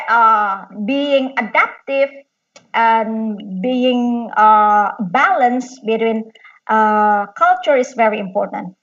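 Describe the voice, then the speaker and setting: A woman with an Indonesian accent giving informal explanatory answers as a guest professor in a webinar, in halting English with short, animated phrases. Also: a little high. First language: Indonesian or Javanese. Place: online video call. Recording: dull, distant webinar microphone.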